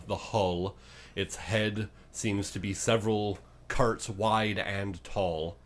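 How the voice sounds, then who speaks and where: A low-pitched man acts as game master on a tabletop role-playing show. He improvises descriptive narration with a dramatic, halting delivery. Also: fairly bright tone.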